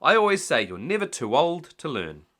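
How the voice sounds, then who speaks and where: A man voicing a cheerful swimming instructor in a storybook, brisk and encouraging. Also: fairly high pitch, sing-song, a bit bright, voice pushed up.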